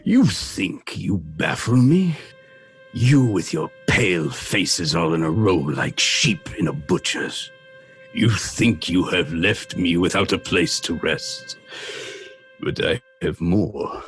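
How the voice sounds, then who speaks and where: An actor playing a menacing, gloating vampire count with a deliberate, taunting delivery in a horror audio drama. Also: male voice, low-pitched, lively intonation, faint music behind, exaggerated voice.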